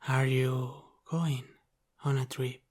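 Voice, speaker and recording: A man playing a teasing, menacing Italian-American mafia boss in an intimate ASMR roleplay, speaking in a calm, controlling, halting way that often drops to a whisper. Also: mid-range voice, very noisy, close to an everyday voice. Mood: cool, suspicious.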